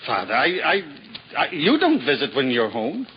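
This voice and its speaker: A man's voice: mid-range voice, huge pitch swings, moderate pace, a little hiss or hum. A man playing a humble aging juggler turned lay brother in a 1950s radio drama, speaking hesitantly and apologetically. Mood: apologetic. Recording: dull old radio broadcast.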